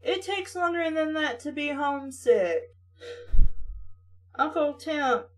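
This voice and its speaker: A high-pitched female voice, recorded at some distance from the mic. She reads a story aloud and keeps stepping out of it with mocking, questioning commentary. Her delivery is that of a sarcastic host talking back to the text. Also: lively intonation, takes it slowly. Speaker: host reading stories aloud. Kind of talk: story reading with mocking asides.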